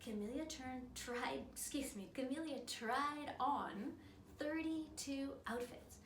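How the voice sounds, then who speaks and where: A woman reading a children's story aloud in a theatrical, emphatic, expressive manner. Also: high-pitched, slow. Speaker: drama teacher. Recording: roomy, distant mic, noisy background.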